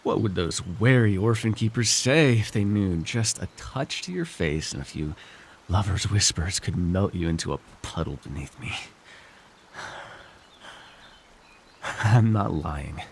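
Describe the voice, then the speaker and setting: A man's voice: low voice, animated, moderate pace, gravelly, a little hiss or hum. A man playing an arrogant, seductive fantasy warlord in an intimate roleplay, teasing and theatrical as he speaks to one listener. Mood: seductive, hungry. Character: arrogant, seductive male fantasy villain warlord, dream-wielding conqueror. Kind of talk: immersive ASMR fantasy roleplay acting.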